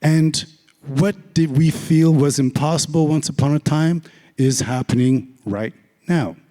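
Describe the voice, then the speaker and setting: A hoarse man with a raspy voice giving a casual, joking conference talk, full of fillers. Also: a little high, unhurried, dark tone. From Canada. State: hoarse, lost voice. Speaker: conference speaker. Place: large conference hall. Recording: long hall reverb.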